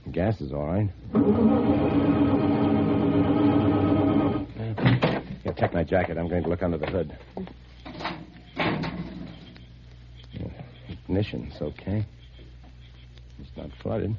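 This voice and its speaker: A man's voice: deep voice, moderate pace. An American actor playing an anxious husband and father fleeing alien invaders and narrating his ordeal in 1950s radio drama style. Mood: urgent. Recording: muffled, very noisy 1950s radio recording.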